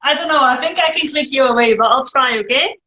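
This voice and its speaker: A Dutch woman with a Scottish-tinged English accent, hosting a live video chat in a relaxed, dry, teasing way. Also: high-pitched, fast. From Netherlands. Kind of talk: casual chat. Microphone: phone. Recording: echoey room, muffled phone audio.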